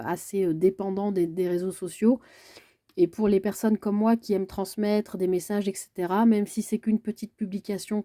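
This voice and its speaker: Card-reading vlogger, female, congested from a cold, halting delivery, deep warm timbre. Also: no room sound, clean studio sound. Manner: hesitant, halting delivery with frequent pauses. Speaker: tarot card reader and channel host. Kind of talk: casual personal video message.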